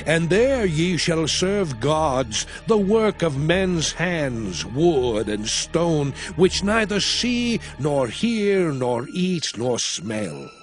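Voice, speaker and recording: An actor playing an aged male prophet and lawgiver, exhorting his people in a solemn, measured, declamatory manner in a dramatized scripture recording. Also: a little high. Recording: studio voice over a music score.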